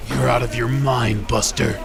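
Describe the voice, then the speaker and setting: An actor playing a gruff 1920s police detective with brusque, slangy talk in an old time radio drama. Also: male voice, medium pitch, flat delivery, a little slow, music in the background. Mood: irritated, skeptical.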